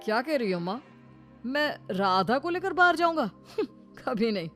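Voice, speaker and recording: A woman voicing a callous young husband in a cartoon, brisk and dismissive, doting on his new wife. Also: sits high, big pitch swings, over music, voice pushed up. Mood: cold, dismissive.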